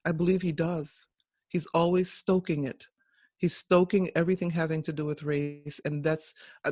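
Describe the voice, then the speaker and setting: A man's voice: fairly high pitch, dry recording, studio quality. A man speaking earnestly and emphatically in a podcast conversation. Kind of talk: impassioned casual conversation.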